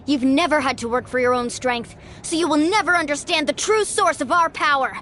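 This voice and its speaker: A woman's voice: high-pitched, fairly quick, a bit bright, a little hiss or hum. An actress playing a brave, spirited young woman warrior in an anime-style video game, earnest and bold. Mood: resolute.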